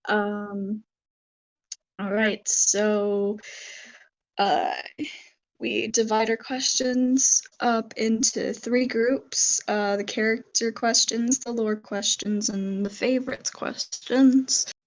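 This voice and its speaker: A young woman hosting a casual online interview, her voice a little soft and hoarse, talking with many fillers and pauses. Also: fairly high pitch, steady tone, rough recording. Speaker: interview host.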